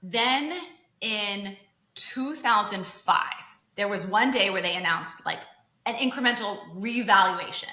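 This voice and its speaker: A woman's voice: medium pitch, a little slow, bright, forceful tone, echoey room. A woman in her thirties, hosting a podcast and explaining finance in a chatty way, full of asides and "like". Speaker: podcast host. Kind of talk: casual explanatory chat.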